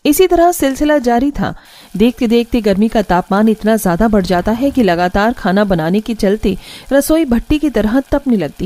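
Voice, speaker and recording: A woman's voice: a little high, warm tone, no echo. A woman reading scripted narration for a cartoon family story.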